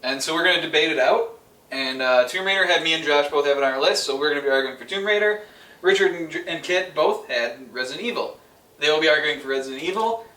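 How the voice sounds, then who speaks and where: A man's voice: a little high, fast, sharp tone. A man co-hosting a casual panel debate, cutting in often and swearing casually. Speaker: podcast co-host. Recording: roomy echo, distant microphone.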